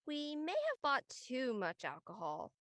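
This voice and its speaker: Woman playing earnest young college friend in an online drama; slightly high, conversational voice, close and dry. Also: wildly swooping pitch, measured pace, fairly bright tone, no echo, heavily acted. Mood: playful, bossy.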